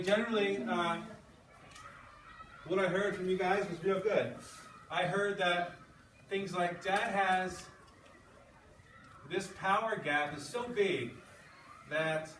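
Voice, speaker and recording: A male schoolteacher with a slightly high voice, talking informally to his class as he reads and discusses. He is some way from the mic in an echoey classroom with clear background noise. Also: fairly bright tone, distant mic. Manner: informal, checking with listeners. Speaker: sixth-grade English teacher. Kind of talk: classroom read-aloud and discussion.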